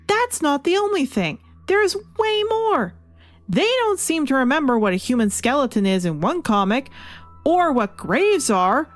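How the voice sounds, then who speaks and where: A woman delivering a scripted, opinionated review as the host, leaning into her points with emphasis. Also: high voice. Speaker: comic book reviewer and show host. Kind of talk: scripted video review narration. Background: music under the voice.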